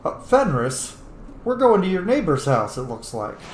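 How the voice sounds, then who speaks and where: A man's voice: fairly high pitch, sing-song, normal speed, slight background noise. A man giving casual let's play commentary as a streamer, with rambling asides and playful exclamations at the game's characters. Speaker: gaming streamer and content creator. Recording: roomy echo under loud game music. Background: video game music.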